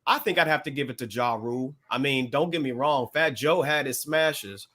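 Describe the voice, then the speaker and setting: A man's voice: mid-range voice, normal speed, pushed and bright, husky. A man co-hosting a podcast, giving his opinions in casual chat. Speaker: podcast co-host.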